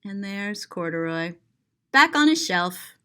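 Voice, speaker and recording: A woman's voice: mid-range voice, very animated, slow pace, bright, forceful tone, clear, resonant tone. A woman reading aloud to children as a storyteller, expressively, with simple explanatory asides and dramatic gasps. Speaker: storyteller, author and teacher.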